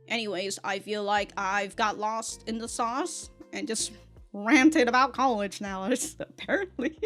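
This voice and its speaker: A young woman on a casual, rambling rant, stammering and repeating words as she goes, with animated, sweary delivery. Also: medium pitch. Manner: often laughs while talking. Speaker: online content creator.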